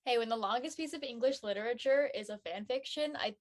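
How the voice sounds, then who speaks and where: A woman chatting casually as a panel guest, informal, emphatic and quick to give her opinion. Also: a little high. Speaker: guest on a web show panel. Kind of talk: casual chat.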